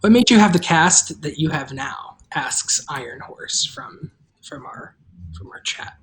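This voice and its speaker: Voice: mid-range voice, big pitch swings, measured pace, a bit bright, a little hiss or hum. Wry, casual livestream host. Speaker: host and creator of an audio drama. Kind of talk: casual livestream chat with cast.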